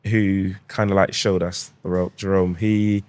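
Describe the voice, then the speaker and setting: A man's voice: low voice, level tone, measured pace, darkish tone, no echo. Jamaican-born man with a London accent. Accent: London English with Jamaican roots. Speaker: guest, restaurant founder. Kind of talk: casual podcast interview.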